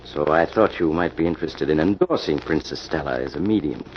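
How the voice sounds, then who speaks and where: An actor playing a glib, dandyish promoter with a wheedling manner in an old radio mystery. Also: male voice, extremely low pitch, a little fast. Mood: smooth, persuading. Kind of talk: 1940s radio mystery acting. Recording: muffled, noisy old radio recording.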